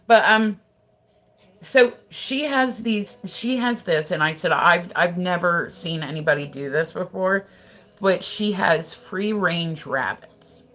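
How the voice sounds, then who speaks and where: An American woman livestreams from her house, chatting loosely with her viewers in a gossipy, profane way. Her voice sits a little low for a woman, and her talk is full of "like" and asides. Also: slow pace, a bit bright. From United States. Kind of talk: casual livestream chat, reading and answering viewers.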